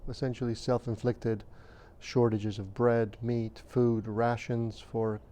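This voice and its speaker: A man in his fifties, originally from Romania, speaks in a mellow, smooth voice. His delivery stays fairly flat and even, and he moves through his memories with measured hesitation and frequent fillers. He sounds like an interviewee looking back on his childhood. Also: mid-range voice. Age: 50s.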